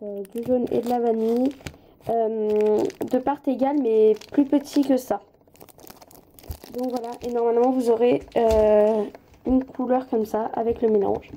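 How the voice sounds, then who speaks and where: A woman's voice: a little high, mellow, slight background noise. A woman with a slight head cold giving a casual hands-on craft tutorial, informal and hesitant, often apologizing as she goes.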